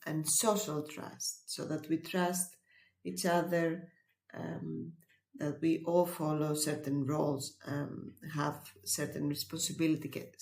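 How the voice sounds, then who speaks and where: Woman explaining things slowly, kinda low voice, roomy echo. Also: gravelly. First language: Greek. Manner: measured and explanatory, pauses with fillers. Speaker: webinar presenter. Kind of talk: educational webinar talk. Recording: echoey room.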